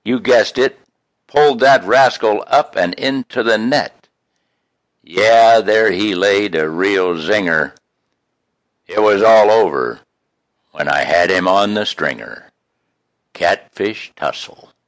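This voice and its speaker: Deep-voiced, slow folksy man reciting poetry. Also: no echo. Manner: folksy, homespun. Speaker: poet reading own work. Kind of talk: expressive poetry recital with casual introductions.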